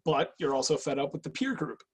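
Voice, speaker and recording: An actor playing a guarded, clinical agent with a cool, probing, businesslike manner in an online screenplay reading. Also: male voice, on the high side, big pitch swings, a little fast, slightly rough. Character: guarded, clinical intake agent, weary but obedient man. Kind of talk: online screenplay table read.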